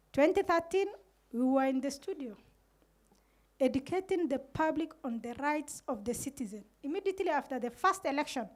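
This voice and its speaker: A woman's voice: sits high, darkish tone. A middle-aged woman with a Kenyan Somali accent speaks earnestly on a panel, recounting events with quoted dialogue into a handheld microphone. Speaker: community radio manager on a panel. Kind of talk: panel talk, storytelling. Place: indoor room with curtains.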